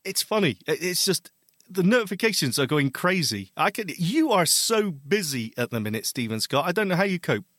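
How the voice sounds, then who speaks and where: A man's voice: high voice, big pitch swings, a little fast, a bit bright, no room sound, studio quality. A man co-hosting a tech podcast, teasing his partner in laughing banter. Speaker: podcast co-host. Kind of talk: casual tech podcast chat.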